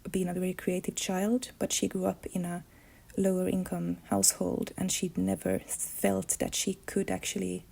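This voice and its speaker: Gravelly, even-toned Finnish-woman. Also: lowish voice, normal speed. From Finland. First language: Swedish. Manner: thoughtful, searching for words. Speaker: illustrator and comics artist. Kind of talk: reflective life-story monologue.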